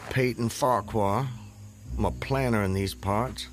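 An actor in a radio drama playing a proud, guarded Southern gentleman planter of the Civil War era, speaking formally. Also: fairly low pitch, slight background noise, lightly acted. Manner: formal, measured, reserved way of talking.

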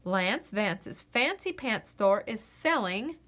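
A woman reading a children's picture book aloud in an expressive, playful way. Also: medium pitch, slow pace. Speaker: storybook reader.

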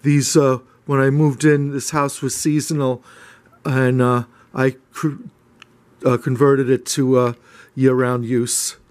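Man, homeowner type, flat monotone delivery, halting with lots of "uh"s, casual camera-held house tour. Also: mid-range voice. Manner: hesitant, many "uh" fillers and restarts. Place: small wood-paneled cottage indoors.

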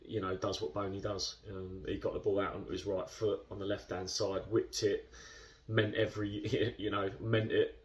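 A man in his thirties speaks in a fairly low voice, talking a post-match interview through in a relaxed, rambling way. He is recorded indoors on a camera set a little back from him. The sound has a slight distance to it. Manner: chatty, measured, lots of fillers. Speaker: football club captain. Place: indoor club room.

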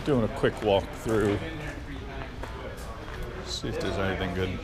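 A man's voice: low voice, measured pace. Man, easygoing chat, outdoor flea market, crowd chatter behind, action-camera sound. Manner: easygoing, friendly small talk with sellers. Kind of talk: casual chat while shopping. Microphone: chest-mounted action camera.

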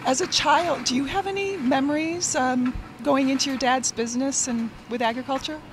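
A woman's voice: sits high, normal speed, background noise. A woman from upstate New York, an agriculture education coordinator, hosting a farm interview in an upbeat, enthusiastic way. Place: outdoors on a farm, then inside a greenhouse.

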